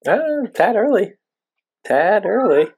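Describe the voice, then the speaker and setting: Slightly hoarse, jokey podcast co-host man. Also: high-pitched, sing-song, slow, darkish tone. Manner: jokey and chuckling. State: a bit hoarse. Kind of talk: casual chat.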